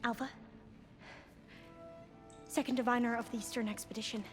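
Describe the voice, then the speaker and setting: A woman acting as an earnest young scholar from a devout tribe in a game. Her voice is high, her wording formal and reverent, with some hesitation. Music swells behind her. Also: fairly even intonation, normal speed, close to an everyday voice. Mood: earnest, grave. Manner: formal, hesitant, solemn phrasing. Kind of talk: dramatic video game acting.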